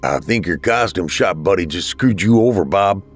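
A man's voice: low voice, lively intonation, normal speed, breathy edge. A man voicing a casual, skeptical older teenage brother in a story, slangy and exasperated. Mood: skeptical annoyance.

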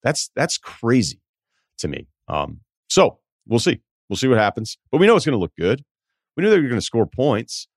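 A man's voice: medium pitch, very animated, moderate pace, husky, dry recording, studio quality. A man hosting a sports podcast, giving informal, wry, conversational commentary.

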